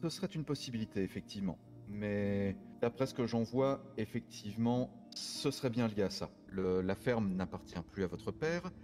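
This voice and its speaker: A man playing a courteous private detective in online roleplay, explaining things formally and deliberately, with halting pauses. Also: fairly low pitch, lively intonation, a little mellow, lightly acted. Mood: grave, measured. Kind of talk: improvised French online roleplay. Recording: background music under the voice.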